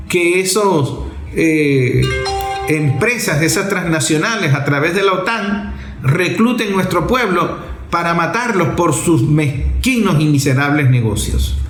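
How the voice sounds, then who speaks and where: A man with a fairly high voice speaks in a very roomy space. He gives political commentary as an impassioned monologue. His delivery is emphatic and blunt, built on repetition and pointed rhetorical questions. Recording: roomy, echoing sound.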